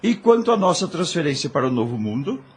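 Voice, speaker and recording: Man acting cordial gentleman, high husky voice, businesslike, formal declamation, audio drama. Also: very animated, quick pace, heavily acted. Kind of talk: Spiritist radio drama acting.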